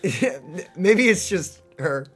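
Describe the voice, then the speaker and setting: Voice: medium pitch, lively intonation, takes it slowly, fairly bright tone, slightly rough, faint music behind. A gaming host giving casual, chatty, reactive let's play commentary.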